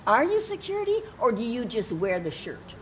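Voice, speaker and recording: An older woman, a protester and videographer, arguing insistently and loudly with security staff at an indoor conference. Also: a little high, very animated, normal speed, slight background noise. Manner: insistent, argumentative, loud. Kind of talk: heated confrontation.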